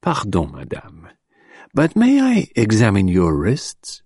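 A man's voice: lowish voice, huge pitch swings, takes it slowly, darkish tone, slightly rough, dry recording, studio quality, exaggerated voice. A man voicing a precise, courteous elderly Belgian detective. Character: fussy, sharp-minded elderly Belgian detective.

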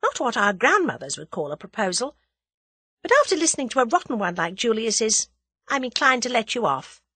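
A woman's voice: a little high, sing-song, fairly quick, bright, forceful tone, breathy edge, no room sound, pitched above the performer's own voice, full character voice. A woman voicing a spirited, teasing young woman in love in an audiobook, playful and eager. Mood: gleeful. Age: young adult. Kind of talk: audiobook character dialogue.

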